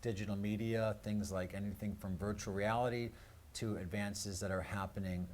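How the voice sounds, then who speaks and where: A man's voice: fairly low pitch, steady tone, normal speed, a little mellow. A man presenting a live talk show as host, in a hesitant, rambling way. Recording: clearly noisy live-stream room sound.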